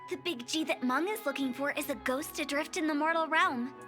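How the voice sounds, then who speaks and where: Casting: eccentric young female undertaker, very high voice, theatrical teasing, anime game over music. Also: a little fast, sharp tone. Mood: businesslike. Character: playful, eccentric young female funeral parlor director.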